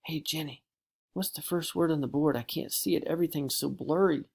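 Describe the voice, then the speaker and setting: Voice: medium pitch, sing-song, normal speed, vocal fry, breathy edge, pitched above the performer's own voice, heavily acted. A reader voicing an earnest, anxious young schoolgirl in an audiobook, pleading and breathless. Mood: worried whisper. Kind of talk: audiobook character voice.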